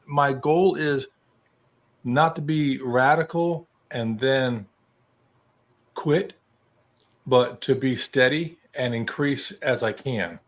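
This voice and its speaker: A man's voice: mid-range voice, slow, long pauses. A man in his early sixties talks with a mid-range voice on a video call. He rambles and restarts his sentences, and he is self-deprecating and easygoing. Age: 60s. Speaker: guest. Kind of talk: casual video call chat.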